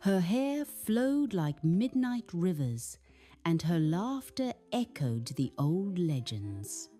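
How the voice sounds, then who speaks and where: Woman reading a kids bedtime story, real slow n soothing, voice swoops up and down, soft music. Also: on the low side, big pitch swings. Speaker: children's bedtime story narrator. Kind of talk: scripted storytelling narration.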